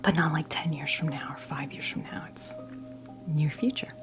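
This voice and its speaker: A woman in her fifties gives an ASMR tarot reading in a breathy whisper, halting often as she reassures the listener. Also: sits low, fairly even intonation. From New Jersey, United States. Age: 50s. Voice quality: breathy whisper mixed with soft creaky voice. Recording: music playing behind the voice. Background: music.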